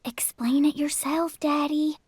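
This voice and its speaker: A woman voicing a sharp, forthright young girl with a Southern US country accent in a video game. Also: sits high, fairly even intonation, a little slow, no echo, studio quality. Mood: blunt.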